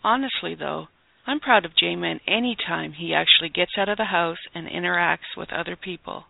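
Man, wry teaser, high gravelly voice, dead-dry sound. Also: moderate pace, no echo. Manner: teasing, wry. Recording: dry, tinny phone-like sound.

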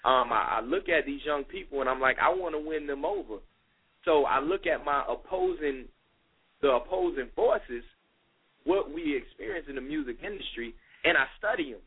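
Husky man in his thirties on a phone line. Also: a little high, normal speed. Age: 30s. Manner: relaxed, conversational, many fillers. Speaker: youth minister guest. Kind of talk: casual radio interview talk. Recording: phone-line radio call.

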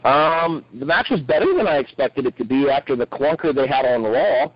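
A man with a slightly rough voice, co-hosting a wrestling podcast in casual review chat. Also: mid-range voice, lively intonation, moderate pace, no room sound, dull audio.

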